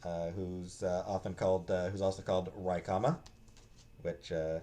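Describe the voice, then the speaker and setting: A man giving a casual, unscripted book talk in a halting way, searching for his words. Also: low voice, level tone, dark tone. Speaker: book reviewer, host.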